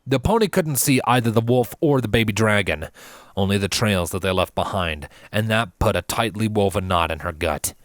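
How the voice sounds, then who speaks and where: A man reading a scripted fantasy story as narrator, in a measured, dramatic and solemn way. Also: fairly low pitch, no echo, clean studio sound.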